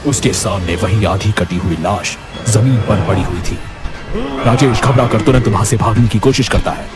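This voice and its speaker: A man's voice: fairly low pitch, normal speed, over music. A man narrating a scripted horror story as its storyteller.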